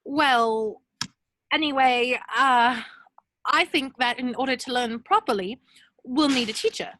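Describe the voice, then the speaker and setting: A woman's voice: sits high, measured pace, bright, forceful tone, a little hiss or hum. A woman playing an earnest, bossy, bookish teenage schoolgirl in an amateur table read. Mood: measured.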